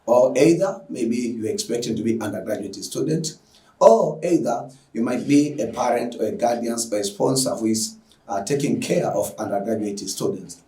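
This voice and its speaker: A man's voice: medium pitch, normal speed, warm tone, roomy sound, distant mic, rough recording. A man from Tanzania giving an effusive, filler-heavy welcome as an online lecturer. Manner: effusive, repetitive, with frequent fillers. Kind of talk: lecture introduction.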